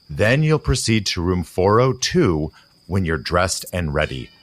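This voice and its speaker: An actor playing a controlling, urgent male conspirator in an audio drama, giving deliberate, intimate instructions. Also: deep voice, exaggerated voice.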